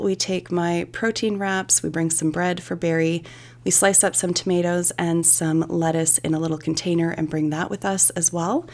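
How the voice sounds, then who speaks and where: A woman's voice: fairly low pitch, vocal fry, a little mellow. A woman giving a casual, chatty recipe voice-over, candid and self-deprecating, as a home cooking vlogger.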